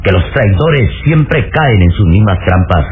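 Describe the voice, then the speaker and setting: A man narrating a dramatic radio serial in a solemn, suspenseful, deliberate manner. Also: lowish voice, expressive, a little hiss or hum. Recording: tinny old radio recording under music.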